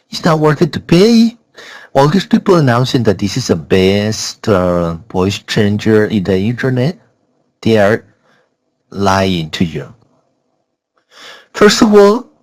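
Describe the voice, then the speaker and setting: Slow-talking, insistent man with swooping pitch. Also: darkish tone, no echo, poor audio. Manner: blunt, insistent, repeating himself. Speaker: software reviewer. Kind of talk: casual spoken review.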